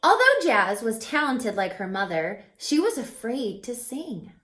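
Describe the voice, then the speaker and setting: A woman reading a children's picture book aloud as a teacher, expressively, pausing to put questions to young listeners. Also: a little high, very animated, a bit bright, roomy sound, slight background noise.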